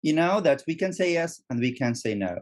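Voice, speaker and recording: Male guest speaking second-language English, halting, repeats words. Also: fairly high pitch, expressive, a little mellow. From Egypt. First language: Arabic. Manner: repeats words, restarts often. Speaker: guest, teacher trainer. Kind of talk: casual interview.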